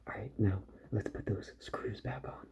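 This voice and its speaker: A soft-spoken man in an ASMR roleplay, murmuring unhurriedly to the listener as a repair doctor at work, often dropping toward a whisper. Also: lowish voice, steady tone, normal speed, lo-fi sound.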